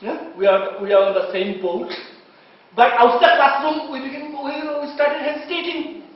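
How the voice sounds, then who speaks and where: A man's voice: extremely high pitch, moderate pace. A man with an Indian English accent giving a university lecture, often searching for words with fillers and restarts. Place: lecture hall. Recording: far-off, very echoey, dull lecture hall recording.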